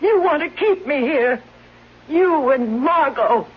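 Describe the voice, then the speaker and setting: Casting: distraught invalid wife, high voice, bitter and accusing; vintage radio mystery, muffled hissy sound. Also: female voice, expressive, slow pace. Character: sickly, suspicious, accusing wife in fear of poisoning. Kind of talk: old-time radio drama acting. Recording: muffled, hissy, humming old radio recording.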